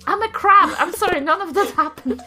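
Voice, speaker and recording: A woman playing a tabletop game, chatting playfully and haltingly, often repeating her words. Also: extremely high pitch, pushed and bright, soft background music. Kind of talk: casual playful game chat.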